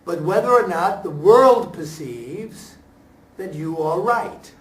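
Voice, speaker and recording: High-voiced man speaking slowly, echoey room. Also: animated. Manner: halting, deliberate phrasing with emphatic pauses. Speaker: stage actor speaking at a talkback. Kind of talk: reflective post-show discussion. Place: room at a staged reading, before an audience. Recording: echoey room, picked up from far off.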